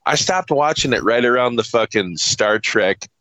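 A man's voice: mid-range voice, animated, moderate pace, sharp tone, no room sound, low-quality recording. A man co-hosting a podcast in casual chat, swearing freely and emphatically in exasperation as he rants through a movie review. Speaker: podcast co-host.

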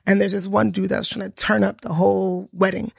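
A woman with a New York accent and a hoarse voice, co-hosting a casual podcast chat and telling stories with frequent laughs. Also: medium pitch, little pitch movement, brisk, dry recording, studio quality. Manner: storytelling, laughs often, swears casually. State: hoarse from a lost voice. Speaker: podcast co-host.

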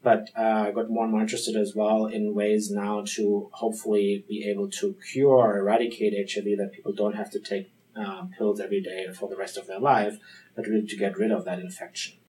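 A man with a German accent speaks as an interview guest in a lowish voice. He answers thoughtfully, often pausing with ums and restarting his sentences. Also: level tone, mellow, poor audio. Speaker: physician-researcher guest.

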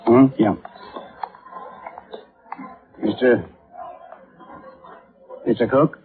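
An American actor playing a plain-spoken, methodical police sergeant in a vintage radio drama, asking questions matter-of-factly. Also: male voice, medium pitch, drawn-out words, husky, full character voice. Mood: methodical questioning. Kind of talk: 1950s radio drama acting. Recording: muffled, phone-like vintage radio recording.

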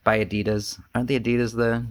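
A man's voice: medium pitch, little pitch movement, fast talker. A man speaking as a Zen teacher, giving a casual, conversational talk to a group in a rambling, self-deprecating way with joking asides. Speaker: Zen teacher and podcast host.

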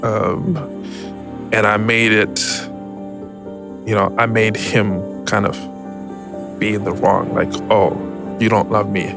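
A man gives personal testimony in a fairly low voice with a lot of fry. He speaks reflectively and hesitantly, pausing often between phrases. Faint music sits behind him. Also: little pitch movement. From Zimbabwe. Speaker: interview guest. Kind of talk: personal testimony, conversational storytelling.

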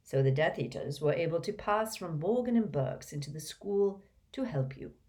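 A woman voicing a gentle, calm old male wizard headmaster who speaks softly and mildly. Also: sits low. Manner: soft, mild, unhurried.

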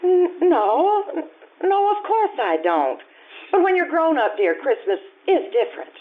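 A woman's voice: sits high, very animated, measured pace, phone-quality sound. An actress playing a harried, wistful mother and housewife in old-time radio drama style, telling her story expressively. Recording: thin radio-like sound with music.

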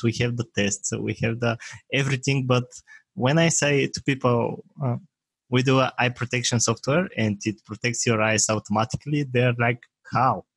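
Man, Bulgarian accent, second-language speaker, halting, searching for words, dry close sound. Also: medium pitch, fairly bright tone, husky, no echo, poor audio. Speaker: guest, software maker. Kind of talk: casual interview talk.

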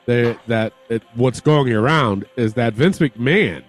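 A man hosting a casual wrestling podcast, excitable and swearing freely. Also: medium pitch, unhurried, faint music behind. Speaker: podcast host.

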